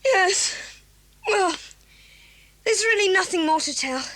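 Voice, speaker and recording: A woman's voice: extremely high pitch, animated, slow, a bit bright, heavily acted. A woman playing a plucky, practical schoolboy with an eager, earnest way of talking, in a 1980s children's audio drama.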